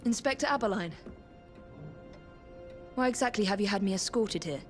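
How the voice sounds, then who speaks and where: A woman's voice: mid-range voice, lively intonation, moderate pace, close to an everyday voice. Woman playing a composed assassin, music behind. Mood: guarded, concerned. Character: composed, determined female Victorian assassin. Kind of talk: dramatic video game cutscene acting.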